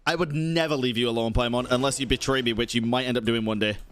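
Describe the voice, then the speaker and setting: A man's voice: mid-range voice, fairly even intonation, fast, background noise. A man reacting casually as a live game streamer, informal and slangy, with some swearing. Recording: game music behind the voice.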